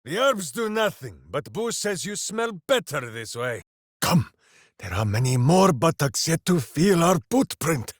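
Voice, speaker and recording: Boisterous brawny hero, video game character, theatrical, good-hearted, simple, extremely varied intonation, unhurried pace. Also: male voice, a little high, sing-song, no room sound, clean studio sound. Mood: earnest, hearty. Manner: theatrical, bombastic, declamatory, talks in grand quaint phrases. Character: big brawny good-hearted warrior, boisterous, simple, righteous, devoted to hamster.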